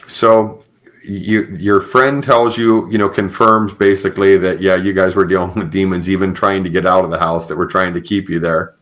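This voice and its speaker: Very deep-voiced man hosting a podcast. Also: flat delivery, normal speed, fairly bright tone. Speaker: podcast host. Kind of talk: conversational interviewing.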